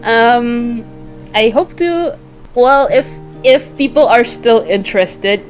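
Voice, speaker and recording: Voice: medium pitch, slow pace, faint music behind, poor audio. A creator being interviewed in casual chat, thinking aloud in a giggly, hesitant way and giving advice. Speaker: webtoon creator, interview guest.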